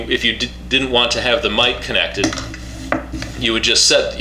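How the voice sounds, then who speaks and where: A man giving a casual, unscripted product demonstration in a halting way, repeating and restarting his phrases. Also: on the low side, sharp tone. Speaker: product reviewer demonstrating a two-way radio. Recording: roomy echo, faint background hum.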